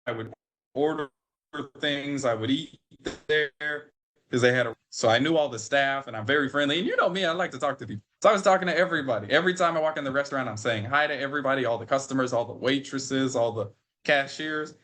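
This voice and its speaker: An American man in his twenties, a podcast guest on a video call, telling a story in an animated way that repeats and restarts phrases. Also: mid-range voice, fast. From United States. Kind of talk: storytelling in a remote interview.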